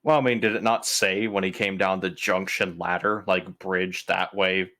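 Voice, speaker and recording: A man's voice: low-pitched, fairly even intonation, normal speed, bright, forceful tone. A man chatting casually and jokingly as a player in a tabletop roleplaying game.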